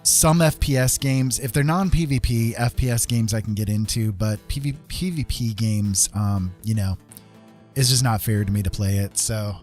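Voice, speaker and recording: A man's voice: lowish voice, measured pace, a little mellow. A middle-aged man chatting casually to his livestream viewers, rambling and hesitant as he goes. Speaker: livestreamer and digital artist. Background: music playing.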